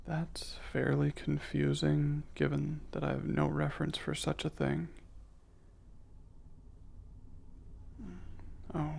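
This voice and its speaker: A man playing a curious, reserved male alien in an ASMR roleplay, speaking softly in formal, precise and hesitant wording. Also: on the high side, completely flat delivery, a little slow, gravelly, heavily acted. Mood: puzzled, intimate. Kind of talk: ASMR roleplay, soft intimate delivery.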